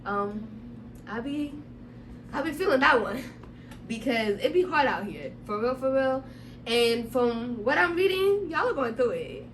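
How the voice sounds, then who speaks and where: A woman's voice: high voice, lively intonation, unhurried. A woman podcast co-host in casual, joking chat, a little sick with a tickle in her throat. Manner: laid-back, joking, slangy. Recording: distant mic, faint hum.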